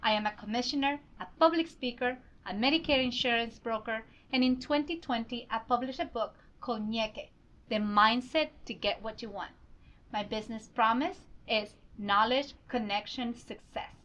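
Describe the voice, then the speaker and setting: A woman's voice: a little high, moderate pace, a bit bright. A woman hosting a casual interview show, friendly and chatty. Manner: friendly, chatty, laughs often. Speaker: show host. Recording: slightly dull lo-fi sound.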